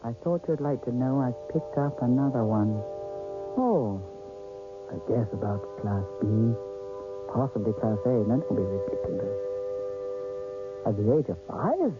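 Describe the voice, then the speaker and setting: A man's voice: mid-range voice, lively intonation, measured pace, long pauses, close to an everyday voice. A man playing a guarded, authoritative supervisor of gifted children in a radio drama, hesitant at times and firm at others. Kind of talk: 1980s radio drama, one side of a conversation. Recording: thin phone-like radio sound, faint music.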